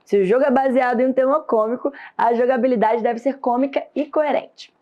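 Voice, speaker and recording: A woman with a Brazilian Portuguese accent, reading a scripted lesson in a steady, explanatory way. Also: high voice, a little fast. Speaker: course instructor. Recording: dull lo-fi sound.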